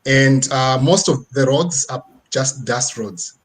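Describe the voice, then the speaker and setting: A man with a Zimbabwean accent and a husky, slightly rough voice at a middling pitch. He speaks as a guest presenter online, telling a personal, motivational story. His delivery is conversational, dotted with little tags that seek agreement. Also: slow pace. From rural Midlands region of Zimbabwe. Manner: conversational, frequent tag questions seeking agreement. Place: online video presentation with screen sharing.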